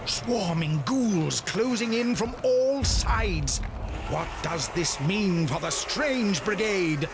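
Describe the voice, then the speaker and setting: Plummy, excitable English serial narrator. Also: male voice, high voice, slow pace, noisy background, heavily acted. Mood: grandly celebratory. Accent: upper-class English. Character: jolly, pompous old-fashioned British adventure-serial narrator. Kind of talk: 1930s newsreel-style dramatic narration. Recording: loud music behind.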